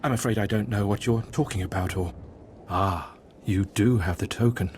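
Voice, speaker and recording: A man playing a devout, guarded cult mentor in a video game, speaking in a formal, reverent, measured way. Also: lowish voice, slight background noise, close to an everyday voice. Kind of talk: scripted video game voice acting.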